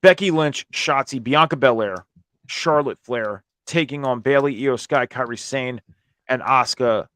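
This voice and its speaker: A man with a hoarse voice, strained from screaming at a concert, hosting a casual, informal review and commentary show about wrestling. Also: mid-range voice, a bit bright, no echo, clean studio sound.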